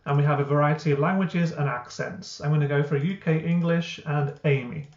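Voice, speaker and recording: A man, the founder of a language app, walking through his software step by step in an explanatory way, with frequent uh and um. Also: fairly high pitch, brisk. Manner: explanatory, many uh and um fillers. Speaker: software founder giving a tutorial. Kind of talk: screen-recorded software walkthrough. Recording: roomy, dull lo-fi.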